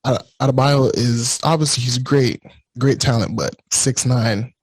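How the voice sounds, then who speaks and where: Husky-voiced young man hosting a podcast. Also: medium pitch, normal speed, no echo. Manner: stammering restarts, many small agreeing sounds. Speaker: podcast host. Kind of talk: casual interview.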